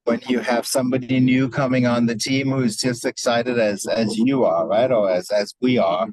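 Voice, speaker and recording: A man's voice: medium pitch, fairly even intonation, normal speed, a little mellow, poor audio. A man speaking on a video call from his car, upbeat, often repeating words and adding "right?". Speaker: team leader. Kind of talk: casual remarks on a call.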